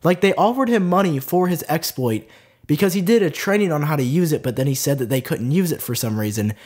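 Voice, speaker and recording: Lively young man with a stuffy nose. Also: on the high side, fairly quick. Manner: chatty, lively, lots of "like" fillers. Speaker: tech video host. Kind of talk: casual tech news vlog.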